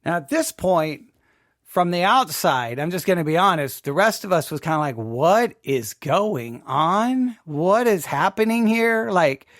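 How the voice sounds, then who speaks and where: A middle-aged man hosts with informal, candid commentary. His voice is on the higher side and he often halts and repeats phrases. The sound is dry, with little room. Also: animated, a bit bright, dry recording. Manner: halting, repetitive, candid. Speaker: podcast host.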